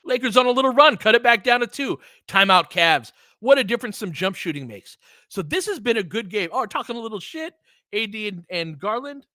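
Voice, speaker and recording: A man's voice: sits high, lively intonation, a little fast, bright, forceful tone, no echo, studio quality. A man giving live basketball play-by-play mixed with casual, long-winded analysis in a conversational, explanatory way.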